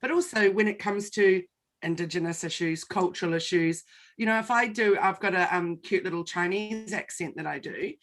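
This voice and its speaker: A woman in her forties, a guest in a conversation, sharing personal anecdotes. Her delivery is lively and expressive, and she tells her stories with animation. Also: on the low side, moderate pace.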